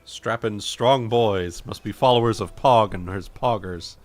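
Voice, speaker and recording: Slow, low-voiced man at a game table. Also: soft background music. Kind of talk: casual game chat.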